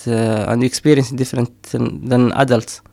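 Man, middle-aged, gravelly, dry close sound, hesitant with fillers. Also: medium pitch, steady tone, normal speed, darkish tone, no echo. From Somaliland. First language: Somali. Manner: hesitant, many fillers, frequent laughs. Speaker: poet and storyteller. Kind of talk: casual interview talk.

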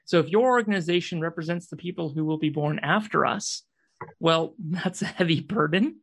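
A man's voice: fairly high pitch, normal speed, vocal fry, fairly bright tone, slightly rough, full character voice. An intense young man in a radio drama, played by a male actor. He is distraught and angry, a climate radical who presses his case insistently and accuses as he goes. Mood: impassioned.

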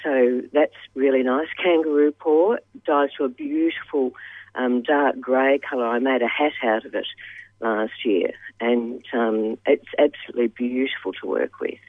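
A woman calling in to a radio show, talking in halting phrases with many "um"s. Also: rumbling low, fairly even intonation, dry recording. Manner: halting, frequent "um"s. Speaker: caller. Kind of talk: phone-in radio chat. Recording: tinny phone line.

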